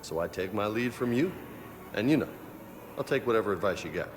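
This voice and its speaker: A man's voice: fairly low pitch, animated, fairly quick, music in the background, lightly acted. An actor playing a cocky young male marine who jokes casually but stays loyal, in a game cutscene. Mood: easygoing. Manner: casual, joking, laughs easily. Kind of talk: video game cutscene acting.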